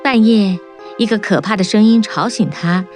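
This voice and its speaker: A woman narrating a children's fairy-tale cartoon in a clear storybook manner. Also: mid-range voice, moderate pace, a little mellow. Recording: narration over prominent music.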